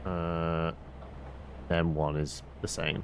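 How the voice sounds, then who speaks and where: A man streams a game with a low, creaky voice and a slow pace. He thinks aloud casually and hesitantly, muttering through puzzles, with clear noise behind him. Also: flat delivery. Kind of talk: casual live gameplay commentary.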